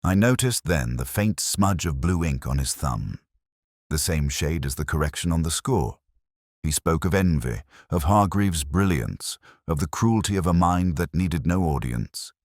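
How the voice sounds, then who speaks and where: A man playing a brooding, melancholy police detective, narrating his story in a measured, solemn, literary manner. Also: a bass voice, lively intonation, dry recording, clean studio sound, exaggerated voice. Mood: brooding, reflective.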